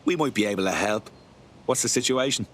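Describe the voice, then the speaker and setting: A man's voice: lowish voice, animated, a little fast, slight background noise, lightly acted. A man voicing a resistance operative in a video game, speaking tersely. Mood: businesslike. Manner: terse, matter-of-fact. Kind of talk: video game cutscene dialogue.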